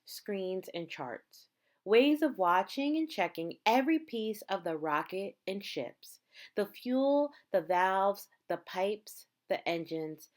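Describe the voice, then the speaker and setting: A woman reading a picture book aloud like a teacher, in measured, dramatic phrases with emphasized words. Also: medium pitch. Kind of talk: read-aloud storytelling.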